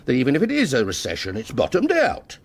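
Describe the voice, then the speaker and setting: A man's voice: mid-range voice, wildly swooping pitch, fast, slightly rough, exaggerated voice. An actor playing a grandiose detective inspector who is down on his luck, pompous and wheedling, in a radio comedy drama. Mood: blustering. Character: grandiose, broke art-fraud detective inspector boss.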